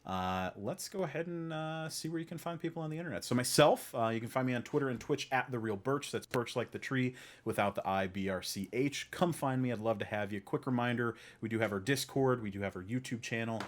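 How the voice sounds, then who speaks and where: A man's voice: medium pitch, brisk. A man hosting a casual podcast, talking in an informal, opinionated way. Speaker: podcast host.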